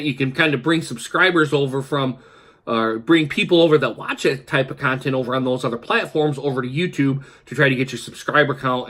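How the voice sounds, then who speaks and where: A man's voice: mid-range voice, a bit bright. A man in his thirties, congested with the flu, talking casually to camera from his kitchen and rambling with many fillers and restarts. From Michigan. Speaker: YouTuber. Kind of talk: casual talking-head vlog. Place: kitchen at home, indoors.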